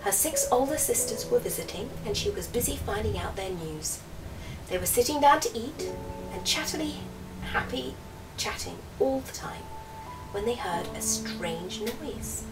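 Lady reading a fairy tale softly, music playing behind her, bit distant. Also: on the high side, lively intonation, unhurried. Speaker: storybook reader. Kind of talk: soft storybook narration read aloud.

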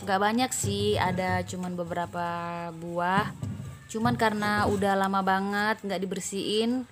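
A woman's voice: medium pitch, fairly even intonation, measured pace. A woman from Medan, Indonesia, a homemaker giving a casual, chatty vlog voice-over. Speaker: homemaker vlogger.

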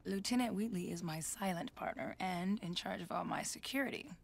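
An actress playing a smooth, calculating female music label boss in a video game cutscene, with a breathy, silky voice. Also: on the low side, brisk.

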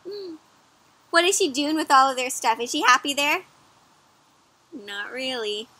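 A young woman reading a picture book aloud to children outdoors, pausing to ask them questions in a teacherly way. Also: high-pitched, no room sound. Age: young adult. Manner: animated teacherly questioning, addressing children as friends. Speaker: children's story reader. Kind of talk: interactive picture-book read-aloud for kids. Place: outdoors in a garden or yard.

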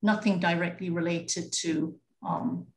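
A woman's voice: lowish voice, steady tone, unhurried, roomy sound, a little hiss or hum. A woman museum curator explaining things thoughtfully over a video call. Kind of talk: panel discussion answer.

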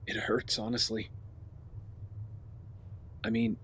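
A man's voice: on the low side, monotone, slow pace, fairly bright tone, a little hiss or hum. A man playing an earnest, burdened young vigilante leader in an audio drama, serious and sincere. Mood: pained, sincere. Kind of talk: naturalistic audio drama acting.

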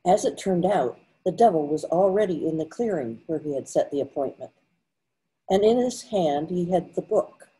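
An older woman reading scripted storybook narration in a measured, formal manner. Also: lowish voice, lively intonation, mellow, low-quality recording. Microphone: computer mic on a video call.

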